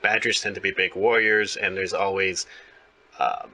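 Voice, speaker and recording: A man's voice: low-pitched, steady tone, moderate pace, sharp tone, slight background noise. A man hosting a conversational interview, explaining things in a rambling way. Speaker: interviewer, host.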